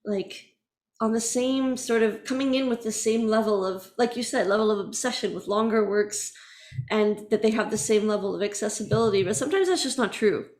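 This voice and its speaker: A woman's voice: a little high, a little fast, a little mellow. Chatty upbeat woman host. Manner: upbeat, chatty, laughs between questions. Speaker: interviewer for a music organization. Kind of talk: casual video-call interview.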